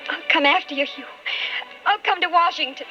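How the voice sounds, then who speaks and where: An actress playing an anguished, impatient politician's wife in an old-time radio drama. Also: female voice, very high voice, a little fast, bright, forceful tone, faint music behind. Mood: desperate. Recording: muffled old radio broadcast with hiss.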